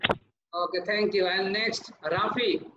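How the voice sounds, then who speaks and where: A male teacher, calling on students one by one in an online class, slow and halting with many fillers. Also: high-pitched, steady tone, pushed and bright, slight background noise. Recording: echoey lo-fi call audio.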